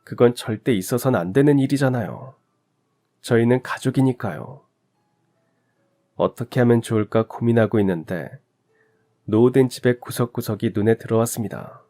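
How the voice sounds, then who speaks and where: A man narrating a family story in a calm, reflective, confessional way. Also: medium pitch, normal speed, pauses often, soft background music. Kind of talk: scripted radio story narration.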